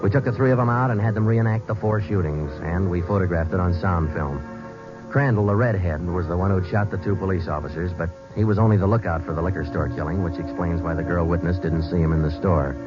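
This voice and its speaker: Guy acting a stoic american cop, low voice, deadpan old radio crime show narration, muffled w music. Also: fast, natural voice. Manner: deadpan, matter-of-fact. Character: stoic, businesslike male police detective. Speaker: lead actor and narrator. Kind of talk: 1940s radio acting, clipped police narration.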